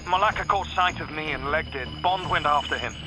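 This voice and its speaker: An actor playing an earnest field agent reporting in during a video game, hurried and deferential. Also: male voice, fairly high pitch, lightly acted, poor audio. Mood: urgent. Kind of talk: video game cinematic voice acting. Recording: loud music behind.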